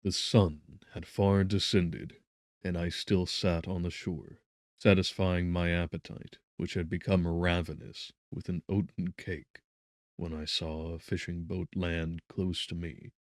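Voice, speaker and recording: A man narrating a gothic novel as a scripted audiobook, with measured, dramatic phrasing. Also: low voice, little pitch movement, no room sound, clean studio sound.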